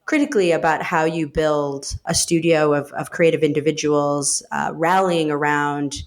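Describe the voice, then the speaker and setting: A woman's voice: deep voice, steady tone, normal speed. A woman, talking reflectively in an interview, with many fillers and restarts. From upstate New York. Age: 30s. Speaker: guest, managing director of a design studio. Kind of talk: casual interview talk.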